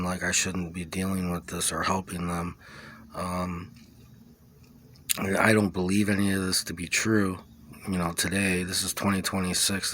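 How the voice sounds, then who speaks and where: A man giving a rambling first-person account, halting as he searches for his words. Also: deep voice, bright, forceful tone. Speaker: video creator recounting own experience.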